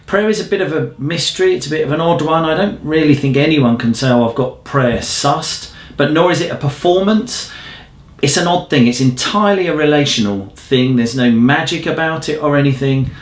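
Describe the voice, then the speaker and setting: A man's voice: fairly high pitch, brisk. A man co-hosting an informal church news update in a conversational, reflective manner. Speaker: church newsreel co-host. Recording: echoey room sound.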